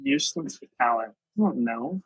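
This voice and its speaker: A man's voice: mid-range voice, expressive, moderate pace, a bit bright, husky, no echo, poor audio. A man of about twenty chatting casually as a podcast guest. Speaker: podcast guest, cornhole player. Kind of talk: casual chat.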